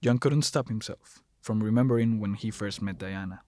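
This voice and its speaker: A man's voice: fairly low pitch, normal speed, dark tone, no echo, clean studio sound. A man reading scripted narration for an audio drama.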